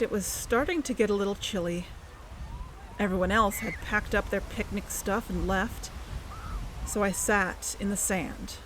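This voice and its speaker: An actress playing an earnest, uneasy young woman investigator, narrating her own story in a measured, confessional way for an audio drama. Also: mid-range voice, slight background noise, natural voice. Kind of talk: scripted first-person audio drama narration.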